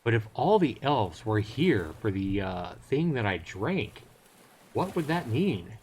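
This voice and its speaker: A man's voice: lowish voice, big pitch swings, takes it slowly, a little mellow, slight background noise. A man streaming a visual novel, reading it aloud with joking, casual asides. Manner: jokey, bantering asides between read passages. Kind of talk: live game read-aloud with casual banter.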